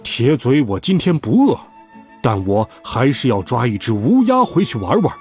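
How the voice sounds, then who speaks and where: A man's voice: medium pitch, very animated, normal speed, darkish tone, slightly rough, faint music behind. A man voicing a boastful, menacing eagle villain in a children's story, taunting and gloating.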